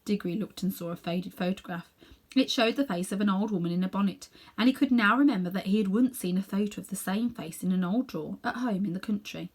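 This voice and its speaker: A woman reading a children's novel aloud as an expressive storyteller. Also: mid-range voice, fast. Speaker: storybook reader.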